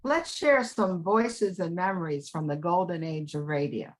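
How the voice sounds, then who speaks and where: A woman's voice: on the low side, measured pace. An older woman presenting a scripted introduction to a radio show, addressing listeners directly.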